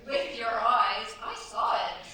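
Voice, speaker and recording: A woman's voice: fairly high pitch, slow, pushed and bright. A woman playing an anxious, proper teenage girl in a staged radio play, theatrical and full of shocked exclamation. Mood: alarmed. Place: theatre stage before an audience. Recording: echoey stage, distant mic, clear hiss.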